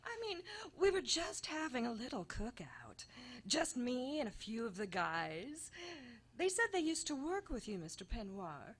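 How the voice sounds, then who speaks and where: Woman acting a high, swooping flirty damsel. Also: very animated, a little slow, a bit bright, slightly rough, heavily acted. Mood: innocent, fluttery. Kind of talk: comic noir radio-play acting.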